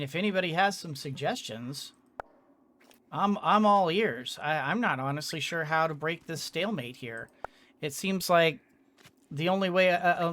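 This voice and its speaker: A gamer giving casual commentary on a video game in a halting way, thinking aloud and repeating words. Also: mid-range voice, fairly bright tone. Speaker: gamer, let's-play host. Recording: clearly noisy background.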